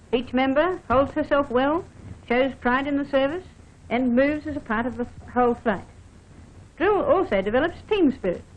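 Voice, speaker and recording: A woman with a high voice narrates an air force training film. Her delivery is scripted, formal and instructive, speaking straight to the listener. The sound is dull and narrow, like an old film soundtrack. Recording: dull band-limited vintage film soundtrack.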